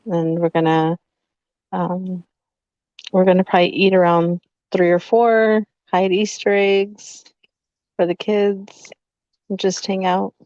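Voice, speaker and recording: Late-thirties woman co-host, slow easy delivery, dry slightly dull sound. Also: lowish voice, level tone, a little mellow, no echo. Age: 30s. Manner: laughs often, easygoing, unhurried. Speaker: livestream co-host. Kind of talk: casual livestream chat.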